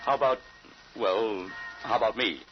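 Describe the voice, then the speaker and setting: A man's voice: fairly low pitch, moderate pace, faint music behind, close to an everyday voice. An actor playing a cautious, doubting middle-aged father in an old-time radio drama, hesitant and questioning. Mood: troubled, questioning. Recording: muffled, noisy old radio recording.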